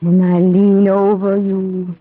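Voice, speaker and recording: Predatory female vampire, alluring, extremely slow, gothic radio drama, tinny vintage recording, music behind. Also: medium pitch, little pitch movement. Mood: hypnotic, teasing. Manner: languid, alluring, drawn-out. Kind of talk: old-time gothic radio acting.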